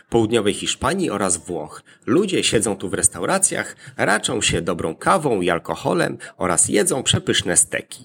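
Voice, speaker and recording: A man presenting a scripted explainer in a deliberate, point-by-point manner. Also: fairly low pitch, creaky, a bit bright, breathy edge.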